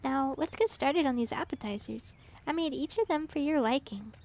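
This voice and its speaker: A woman voicing a possessive, obsessive young girlfriend in an ASMR roleplay, speaking sweetly and intimately with soft whispers and a creepy edge under the doting. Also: sits high, moderate pace. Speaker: ASMR voice actress.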